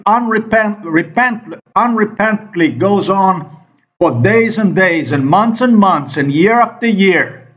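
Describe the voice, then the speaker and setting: A man from Slovenia with a Slovenian accent, giving an unscripted monologue in a halting, emphatic and profane manner. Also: fairly high pitch, fairly bright tone. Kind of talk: unscripted monologue commentary over screen recording. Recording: dull lo-fi microphone sound.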